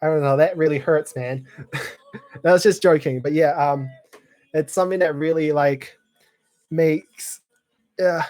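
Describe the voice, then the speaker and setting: A man in his twenties chatting casually on a live stream, rambling with many fillers and clearing his throat now and then. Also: fairly high pitch, fairly even intonation, measured pace, pauses often, a little mellow. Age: 20s. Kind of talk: casual live chat reading viewer comments.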